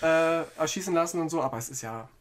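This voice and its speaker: Male co-host, quick and sarcastic, lightish higher pitch, casual podcast banter.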